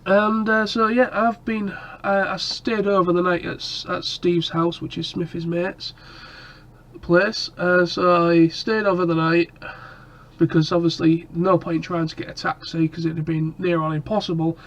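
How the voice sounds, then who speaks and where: A man's voice: high voice, level tone, measured pace, a bit bright. A man chatting casually to camera in a rambling way, his nose stuffed up and coughing from a cold. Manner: rambling, lots of ums and restarts. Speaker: vlogger.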